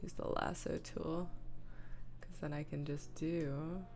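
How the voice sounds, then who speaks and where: Low-pitched woman chatting casually on a livestream. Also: flat delivery, slow pace, creaky. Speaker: livestream host. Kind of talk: casual livestream chat narrating image editing. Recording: soft music playing behind the voice. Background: lo-fi music, computer keyboard typing.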